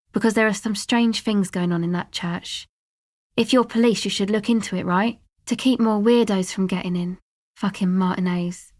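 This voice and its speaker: A woman's voice: medium pitch, no echo, clean studio sound, close to an everyday voice. An actress voicing a laid-back, guarded young woman from a dance music crowd, speaking casual, slangy video game dialogue with an offhand manner. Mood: annoyed. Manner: offhand, slangy, hesitant asides.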